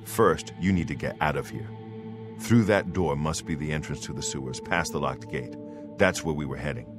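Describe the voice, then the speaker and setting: An actor playing a dutiful imperial bodyguard knight in a fantasy game, grave, earnest and soldierly. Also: male voice, rumbling low, moderate pace, music in the background. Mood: earnest, explanatory. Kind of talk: fantasy video game acting.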